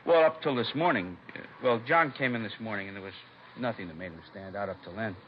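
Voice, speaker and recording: A man's voice: fairly low pitch, fast, lightly acted. An American man playing a chatty, helpful laundry shopkeeper in a 1950s radio drama, hesitant and rambling as he talks. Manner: hesitant, rambling, mumbling to himself. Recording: noisy, muffled vintage radio recording.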